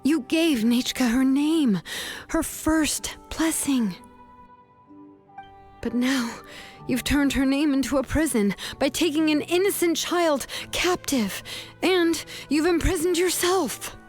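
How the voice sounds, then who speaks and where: An actress playing a resolute, compassionate young craftswoman in a video game, with a measured, earnest delivery. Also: high voice, soft background music. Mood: solemn reproach. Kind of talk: dramatic video game voice acting.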